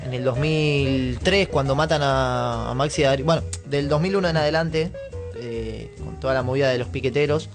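A man with an Argentine accent co-hosting a casual radio talk, often pausing as he recalls details. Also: mid-range voice. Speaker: radio show co-host. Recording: music clearly playing behind the voice. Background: music with guitar.

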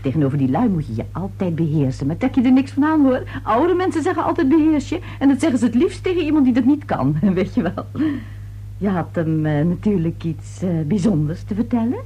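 A woman's voice: mid-range voice, lively intonation, brisk, warm tone, breathy edge. A woman playing a nosy, chatty, easily indignant neighbour in a radio thriller, with theatrical prying delivery. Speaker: actress in a radio serial. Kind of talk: Dutch radio thriller acting.

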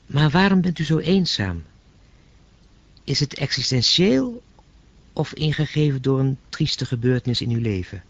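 A man's voice: medium pitch, big pitch swings, a little slow, long pauses, no room sound, highs cut off. A man playing a baffled, persistent telephone caller in a radio play, questioning with irritable, incredulous curiosity. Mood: curious, polite. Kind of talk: radio play acting, conversational.

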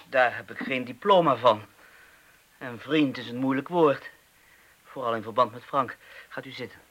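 A man's voice: mid-range voice, pushed and bright. An actor with a Netherlands Dutch accent playing a world-weary, bitter man in a radio drama, speaking in clipped, sardonic phrases. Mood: guarded. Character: bitter, blunt, world-weary ex-psychiatric patient, man over thirty.

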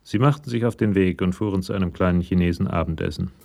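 A man's voice: low-pitched, fast, creaky, background noise. A man narrating a crime radio drama from a script in a storytelling role.

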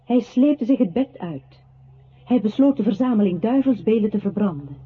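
A woman acting as a narrator in a literary radio drama, with measured storytelling. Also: a little high, expressive, natural voice. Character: woman narrator telling a brooding man's story. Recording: thin phone-like sound with clear noise.

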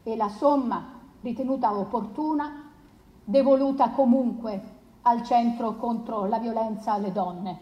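Actress as a slow, resolute woman lawyer, echoey. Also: a little high, muffled recording, close to an everyday voice. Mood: solemn conviction. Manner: solemn, measured, deliberate emphasis. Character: resolute female lawyer pleading for a wronged girl. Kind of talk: theatrical courtroom closing plea, stage adaptation of a trial. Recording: echoey room.

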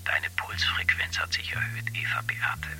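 An actress voicing a clinical, whispering wristwatch computer. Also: female voice, normal speed, close to an everyday voice, poor audio. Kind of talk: radio drama acting.

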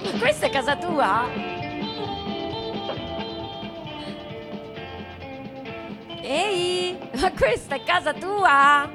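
A woman's voice: very high voice, lively intonation, measured pace, music in the background. An actress playing a naive, chatty young woman in radio theatre dialogue, with a light, hesitant, girlish manner. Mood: curious, nervous.

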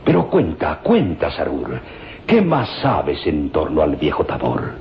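A covetous professor. He is slow, weighty and insistent, in the manner of old radio drama. The recording is dull, with faint music underneath. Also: a bass voice, huge pitch swings, slight background noise, exaggerated voice. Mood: probing. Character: eager, covetous male professor obsessed with ancient tombs. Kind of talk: old radio serial acting.

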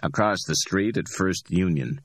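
A man's voice: sits low, measured pace, no room sound. A man voicing a matter-of-fact middle-aged bail bondsman.

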